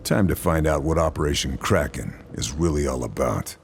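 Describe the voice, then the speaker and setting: An actor playing a determined sniper hero, narrating a mission with dry, clipped lines over cutscene music. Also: male voice, rumbling low, animated, moderate pace, gravelly, a little hiss or hum, full character voice. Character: hardened sniper hero, middle-aged man. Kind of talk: game narration.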